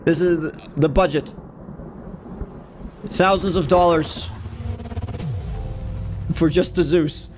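A young man with a fairly high voice hosts a casual reaction video. He comments informally and exaggerates for jokes, while music plays softly behind him. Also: fairly even intonation, slow, long pauses. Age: 20s. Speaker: YouTube host reacting to game cutscenes. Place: small home room, indoors.

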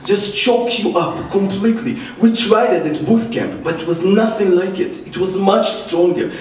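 A man's voice: high voice, moderate pace, darkish tone. A man from Israel with an Israeli accent and Hebrew as his first language, telling a personal story to an audience as an activist speaker, recorded from a distance in a church. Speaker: activist speaker, former soldier. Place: large indoor church. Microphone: distant microphone. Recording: echoey church, distant mic, faint noise.

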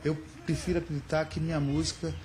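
A man's voice: a little high, flat delivery, fast, a little mellow. A man in his fifties giving a casual interview into a handheld mic in a small room, reflective and searching for words. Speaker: interviewed musician. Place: small indoor room backstage.

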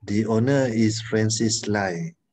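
A man's voice: lowish voice, slow, darkish tone, slight background noise. Hesitant Malaysian man in his thirties. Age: 30s. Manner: hesitant, searching for words. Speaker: student. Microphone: video call.

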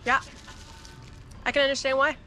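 A woman's voice: high-pitched, little pitch movement, normal speed, pushed and bright. A woman in her thirties chatting casually to the camera about sailing life, upbeat and chatty with fillers. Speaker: sailing vlogger. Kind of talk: casual vlog talk to camera. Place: boat cabin, indoors.

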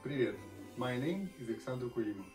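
Man, steady explainer, echoey room, music clearly under. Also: medium pitch, quick pace. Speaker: company employee. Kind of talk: recorded greeting and explanation.

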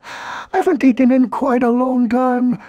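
A mild, befuddled little storybook bear. The voice is lifted high and soft, and he speaks politely, with a halting, cartoonish sweetness. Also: steady tone, dry recording, muffled recording. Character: gentle, slow-witted, honey-loving male toy bear.

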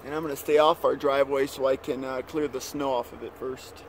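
A man's voice: mid-range voice, a little fast. A man giving casual, matter-of-fact running commentary while driving, recorded on a phone inside the vehicle. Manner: relaxed, matter-of-fact narration. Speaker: vlogger narrating his drive. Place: inside a vehicle on a snowy mountain road. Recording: phone recording in a moving vehicle with engine and wind noise. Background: engine and wind noise.

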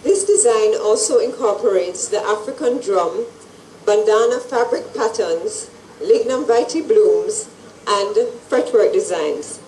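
A middle-aged woman reading a formal scripted presentation at an event in a measured way. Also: medium pitch, darkish tone, roomy sound, far from the mic, slight background noise, rough recording. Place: large indoor event hall with stage and audience. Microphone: handheld microphones at a lectern, through PA.

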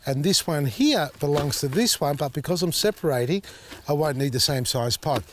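Husky gardener thinking out loud. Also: male voice, on the high side, lively intonation, moderate pace, slight background noise. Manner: chatty, thinks out loud, many fillers. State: slightly husky, voice recovering. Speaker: gardening show host. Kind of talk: casual gardening demonstration. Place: outdoor garden beside a tall hedge.

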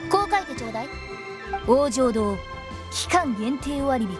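A woman's voice: high voice, animated, a little slow. A woman voicing a playful, mischievous teenage girl with a teasing, theatrical way of talking in an anime game. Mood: breezy, decisive. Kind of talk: Japanese anime game voice acting. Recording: game music behind the voice.

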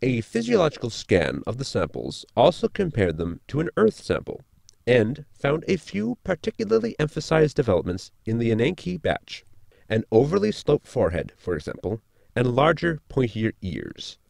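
A man playing a pedantic, eccentric science officer, formal and polite, with a creaky voice, in a sci-fi comedy audio drama. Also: medium pitch, wildly swooping pitch, normal speed, darkish tone, no echo, exaggerated voice. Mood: precise.

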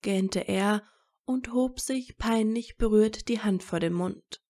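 Slow, mellow woman narrator with creaky voice. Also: medium pitch. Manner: measured storytelling. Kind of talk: scripted story narration of fan fiction.

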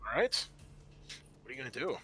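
Bright-voiced man running a tabletop game. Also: mid-range voice, big pitch swings, brisk, husky. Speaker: game master. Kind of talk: explaining tabletop game rules casually. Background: music.

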